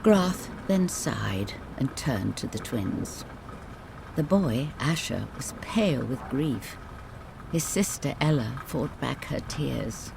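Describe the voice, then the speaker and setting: Bedtime fairy tale narrator: measured, dramatic, lively intonation, mid-low register, clear rain noise behind. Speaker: storyteller. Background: rain ambience.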